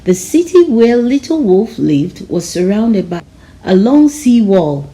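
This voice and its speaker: A woman narrating a children's storybook aloud in a measured, expressive storytelling manner. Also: mid-range voice, rough recording. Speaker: storybook reader.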